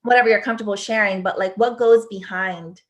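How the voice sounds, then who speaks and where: A woman's voice: medium pitch, steady tone, fairly quick. Woman podcast host, casual interviewer, chatty with "like" fillers.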